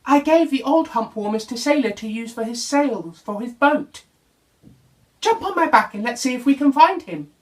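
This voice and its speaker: A man's voice: extremely high pitch, animated, normal speed, voice pushed up. A man voicing a helpful, apologetic female camel in a children's bedtime story. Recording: voice distant from the mic.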